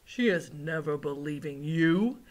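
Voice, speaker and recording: A woman's voice: medium pitch, lively intonation, measured pace, fairly bright tone, voice pushed up. A woman voicing a big, friendly but stubborn and gloomy male giant from a storybook, talking in mangled folksy grammar with invented words. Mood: doubtful. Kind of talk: storybook character read aloud.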